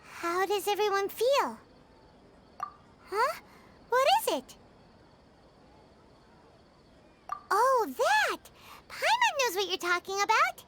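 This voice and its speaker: A woman voicing a small, excitable, naive fairy-like girl companion in an anime fantasy game, with a squeaky childlike voice. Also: takes it slowly, pauses often, heavy background noise, heavily acted. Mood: excited. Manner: speaks of herself in the third person, exclamatory. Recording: loud music behind the voice.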